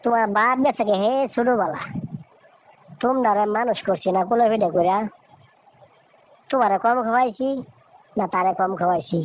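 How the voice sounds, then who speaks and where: A woman's voice: on the high side, moderate pace, long pauses, close to an everyday voice. A woman voicing an exasperated, weary mother bird in a cartoon, scolding and pleading in a rural Bangladeshi Bengali accent, on a dull, noisy recording. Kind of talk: cartoon voice acting. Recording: dull lo-fi audio with noise.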